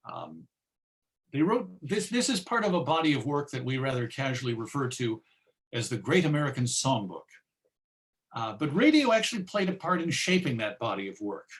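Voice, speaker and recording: A man's voice: medium pitch, sing-song, moderate pace. A man presenting an informal illustrated lecture in a conversational, explanatory way.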